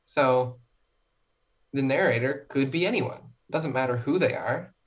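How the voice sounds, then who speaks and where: A woman's voice: extremely low pitch, a little slow, lo-fi sound. A young woman talking casually as a podcast guest, in a chatty, informal and self-reflective way. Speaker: podcast guest, short story writer. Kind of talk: casual interview chat.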